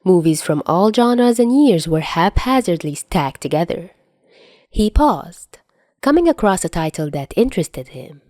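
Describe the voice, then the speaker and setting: A woman's voice: lowish voice, sing-song, unhurried, darkish tone, dry recording, studio quality. A woman reading a scripted piece of fiction as its narrator.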